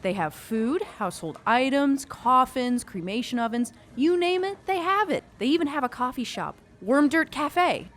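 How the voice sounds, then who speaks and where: A woman plays a breezy, bumbling witch showing a newcomer around in a radio play. Her voice is fairly high and very animated, with a chatty, theatrical delivery. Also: moderate pace, hardly any pauses. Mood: excited. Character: breezy, excitable, inept female witch guide. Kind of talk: audio drama acting.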